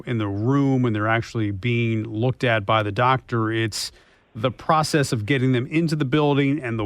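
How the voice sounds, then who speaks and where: A man's voice: mid-range voice, no echo. A man hosting a radio show, interviewing in a conversational news-talk style.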